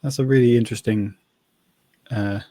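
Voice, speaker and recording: A man co-hosting a podcast in casual discussion, tentative and rambling, softening his points with small asides. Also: medium pitch, fairly even intonation, normal speed, dry recording. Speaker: podcast co-host, novelist.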